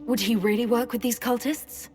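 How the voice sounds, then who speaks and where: A woman's voice: a little high, steady tone, moderate pace, natural voice. An actress playing a composed woman leader in a fantasy video game, direct and questioning. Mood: calm, concerned. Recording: music behind the voice.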